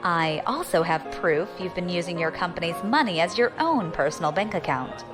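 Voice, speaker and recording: A woman's voice: lowish voice, animated, normal speed, music in the background, close to an everyday voice. A woman playing a bold, ambitious, defiant young opportunist in an audio drama, assertive and challenging. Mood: confident, threatening.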